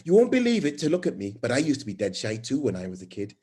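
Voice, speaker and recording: A man voicing a warm, chatty young mother with a put-on Welsh accent. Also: medium pitch, wildly swooping pitch, fast, slightly rough. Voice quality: chatty, tumbling storytelling.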